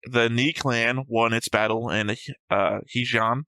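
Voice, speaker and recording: A man with a bright, sharp voice talks casually as co-host of a discussion show. He is short on sleep, argumentative and often restates his own points. The sound is dry, with little room in it. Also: medium pitch, steady tone, unhurried, smooth, dry recording, rough recording. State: sleep-deprived. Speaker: co-host of an anime reaction show. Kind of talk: casual discussion of episode notes.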